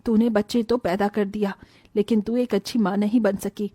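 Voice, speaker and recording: A woman playing a stern, worried mother-in-law in an animated family story, speaking in an emphatic, scolding way. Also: medium pitch, moderate pace, lightly acted. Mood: stern, reproachful. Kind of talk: animated Hindi moral story dialogue.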